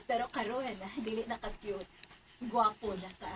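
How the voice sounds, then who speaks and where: A doting family friend fusses over the boy, gushing and flattering , as a woman plays her. Her voice sits fairly high, with a faint noise behind it. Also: moderate pace, lightly acted. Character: Tita Vivian, a doting aunt figure.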